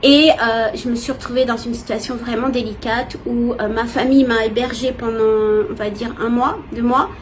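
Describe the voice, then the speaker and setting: A woman from France, giving a personal, expressive and hesitant testimony to viewers in casual talk. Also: on the high side, moderate pace, few pauses. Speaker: vlogger. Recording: clear background noise, ambient sound.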